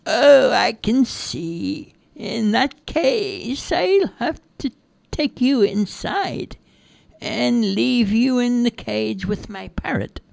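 A storyteller putting on Peggy, a high, drawn-out bargaining girl. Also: animated, vocal fry, no room sound, full character voice. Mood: threatening.

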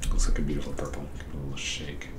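Very deep hoarse man, voice nearly gone. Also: rapid-fire, roomy sound, loud music behind the voice. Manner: excited, rambling with fillers. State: lost voice, hoarse. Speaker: stationery vlogger. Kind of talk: casual show-and-tell. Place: home study with lamps and bookshelves.

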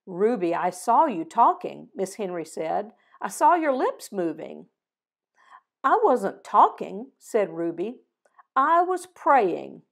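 An older woman reading a picture book aloud and closing with a prayer, gently voicing the dialogue, recorded over a video call at home. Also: mid-range voice, very animated, gravelly. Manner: slow, gentle storytelling with voiced dialogue. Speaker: storybook reader. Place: home living room with fireplace.

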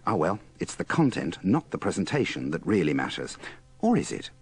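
A man's voice: sits low, huge pitch swings, brisk, creaky, slightly rough. A man reading scripted links for a radio compilation in a wry, dryly ironic manner. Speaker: presenter of a radio bloopers compilation. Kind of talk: scripted linking narration.

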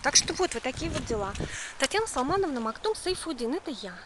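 A woman's voice: high-pitched, big pitch swings, fast. A middle-aged woman vlogging to her phone camera from inside a car, talking in an agitated, repetitive, complaining way. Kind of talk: casual vlog to camera. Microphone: phone camera held close. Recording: noisy phone recording.

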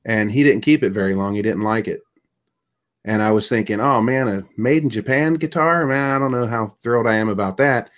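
A man's voice: lowish voice, a little fast. A middle-aged man hosting a podcast, chatting casually and joking over a video call from a small home room, trailing off with ums as he goes. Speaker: podcast host. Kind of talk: casual chat. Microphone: webcam on a video call.

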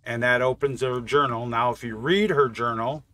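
A man's voice: medium pitch, normal speed, bright, forceful tone. A man giving casual, offhand gameplay commentary, thinking aloud as a channel host. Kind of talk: casual game mod test commentary.

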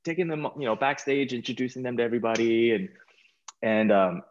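A middle-aged American man chatting casually as an interview guest over a video call, informal and halting, often repeating words. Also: medium pitch, fairly even intonation, highs cut off. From California, United States. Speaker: guest, stage performer. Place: small plain room indoors, video call.

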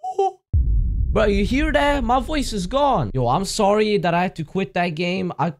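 A young man playing a horror game and commenting into a headset mic in a small room, jumpy and gasping at scares, his voice going hoarse from all the screaming. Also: high voice, expressive, measured pace, slight background noise. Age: young adult. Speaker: gaming streamer.

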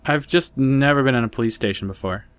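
A woman voicing an easygoing, friendly teenage boy, pitching her voice down. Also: quick pace, no echo.

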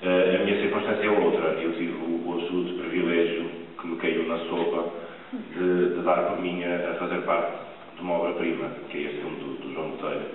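Young man, deep voice, echoey theatre. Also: flat delivery, a little fast, slight background noise. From Porto, Portugal. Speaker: actor. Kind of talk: talk to a theatre audience. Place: large theatre stage. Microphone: far camera, room sound. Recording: distant, echoey, tinny hall recording.

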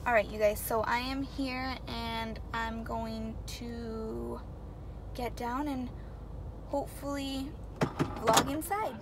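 A woman's voice: steady tone, slow. A young American woman in her twenties chatting casually as a vlogger, recorded on a dashboard camera while driving. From Tucson, Arizona, USA. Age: 20s. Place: inside a car while driving. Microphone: camera mounted on dashboard. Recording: noisy car interior with road rumble. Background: loud road and car noise.

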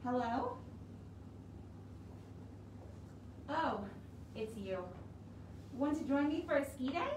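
A woman reads aloud as a friendly, excitable storybook bunny with a high voice. It chats politely to the reader, heard from a distance in a roomy space. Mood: friendly, coaxing. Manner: polite, chatty, addressing the reader directly. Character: friendly, excitable winter rabbit in a picture book. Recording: roomy, distant mic, clear background noise.

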